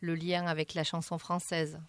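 A woman singer answering radio interview questions in a halting way, with many fillers and restarts. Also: low voice, little pitch movement, smooth, no echo. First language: French.

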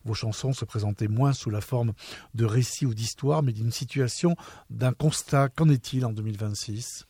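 A man hosts a radio interview with a warm, mellow voice and a mid-range pitch. He asks his questions in a measured, even way. The studio sound is close and dry. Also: slightly rough, dry recording. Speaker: radio host.

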